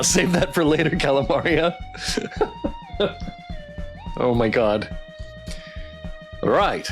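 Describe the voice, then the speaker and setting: A man livestreaming a visual novel, reacting and reading aloud in a playful, expressive way. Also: medium pitch. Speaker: streamer playing a visual novel.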